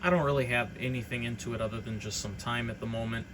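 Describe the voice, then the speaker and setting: A man with a mid-pitched voice talks through an informal hands-on demo. His delivery is unscripted and matter-of-fact, wandering a little as he goes. A steady, clearly audible background noise sits behind him. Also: quick pace. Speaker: hobbyist radio reseller presenting a demonstration. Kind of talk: informal product demonstration.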